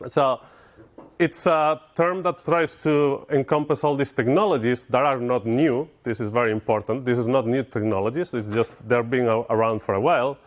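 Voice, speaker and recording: A man's voice: mid-range voice, fairly even intonation, normal speed. A middle-aged man with a Spanish accent, giving an informal technical talk to an audience in a conference room. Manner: informal, explanatory, addresses audience with questions. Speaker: conference speaker.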